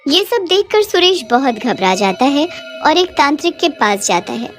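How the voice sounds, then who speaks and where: A woman's voice: high voice, very animated, moderate pace, faint music behind. A woman narrating a scripted cartoon horror bedtime story.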